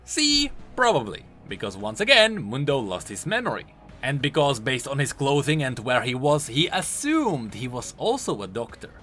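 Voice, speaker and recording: A man's voice: medium pitch, sing-song, normal speed, bright, forceful tone. A man hosting a video, giving scripted commentary on a game character in an explainer style. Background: music.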